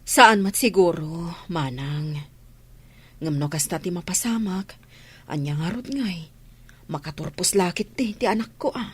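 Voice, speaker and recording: A woman's voice: on the low side, animated, a little slow, slightly rough. A woman with a Filipino accent playing a humble, struggling village mother in an Ilocano comedy radio drama. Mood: pleading.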